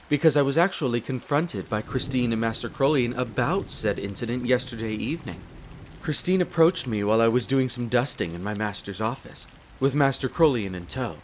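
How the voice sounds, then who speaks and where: Courteous castle butler , male actor, mid-pitched, measured and deferential, audio roleplay, light rain noise. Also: close to an everyday voice. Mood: composed, sincere. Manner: formal, polite, measured, deferential. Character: courteous, devoted demi-human butler in a vampire's castle. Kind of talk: scripted roleplay addressed to the listener. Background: steady rain of a storm.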